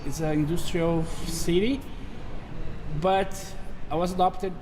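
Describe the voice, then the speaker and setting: A man's voice: high-pitched, fairly even intonation, slow pace. A man in his forties from Brazil, speaking English with a Brazilian Portuguese accent, chatting casually and laughing often as co-host. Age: 40s. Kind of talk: casual chat. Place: shopping mall under renovation. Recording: roomy mall with renovation noise.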